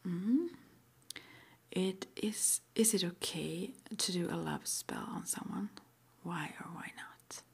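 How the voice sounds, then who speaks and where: A woman whispering breathily in an ASMR roleplay, gently and hesitantly asking questions like an interviewer. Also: fairly low pitch, takes it slowly. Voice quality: breathy whisper, mostly unvoiced.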